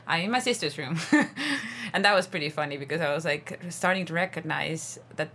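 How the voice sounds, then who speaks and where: A woman's voice: lowish voice, normal speed, sharp tone. A woman from Estonia, an English teacher chatting casually as an interview guest. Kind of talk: casual chat.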